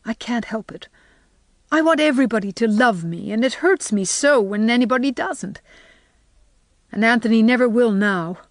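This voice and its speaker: A woman's voice: on the high side, moderate pace, no room sound, studio quality, pitched above the performer's own voice, lightly acted. A woman voicing an emotional young schoolteacher in an audiobook, speaking in a sobbing, pleading way. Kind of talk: storybook character reading.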